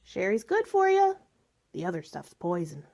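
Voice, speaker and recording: A woman's voice: medium pitch, huge pitch swings, normal speed, vocal fry, darkish tone, heavily acted. A woman acting in a radio drama as a lonely, faded spinster who likes her wine, wistful, bitter and self-pitying. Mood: sociable, brittle.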